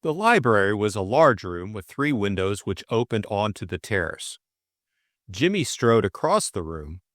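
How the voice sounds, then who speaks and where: A man's voice: fairly low pitch, very animated, moderate pace, a bit bright, dry recording, studio quality. A middle-aged man reading a classic novel and discussing it as a co-host. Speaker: audiobook reader and co-host. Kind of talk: storybook narration and casual book discussion.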